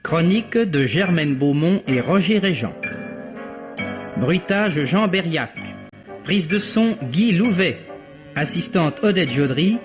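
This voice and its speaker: Music plays loudly behind a man announcing credits on a dull vintage radio recording. He reads very slowly and formally, in a measured, declamatory way. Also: a little high, animated. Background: loud music.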